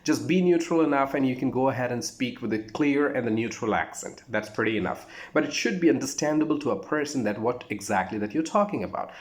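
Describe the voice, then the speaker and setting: A man's voice: medium pitch, expressive, quick pace, few pauses. A man with an Indian accent giving an informal spoken lesson as a voice trainer, instructive and repetitive, with emphatic phrasing.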